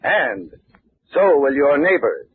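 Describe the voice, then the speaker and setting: A man delivering a scripted 1940s radio advertising pitch as spokesman, folksy and emphatic with measured phrasing. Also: big pitch swings, breathy edge. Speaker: radio sponsor spokesman and announcer. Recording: noisy, narrow-band old radio recording.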